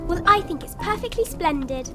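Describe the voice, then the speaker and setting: Woman acting very high-pitched, polite little girl. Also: expressive, moderate pace, music in the background. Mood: eager. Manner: polite, old-fashioned child speech. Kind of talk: audio drama acting of a gothic period story.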